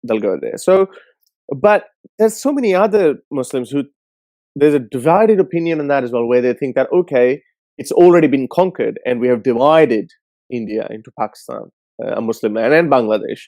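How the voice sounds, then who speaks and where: A man hosting a call-in show, giving casual, blunt and scornful commentary in an animated way. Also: medium pitch, normal speed, no echo.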